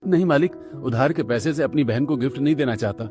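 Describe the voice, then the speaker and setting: A man's voice: medium pitch, fast talker, over music, lightly acted. A man voicing a poor, devoted young working brother in a cartoon story, earnest, reassuring and affectionate. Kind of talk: Hindi cartoon story dialogue.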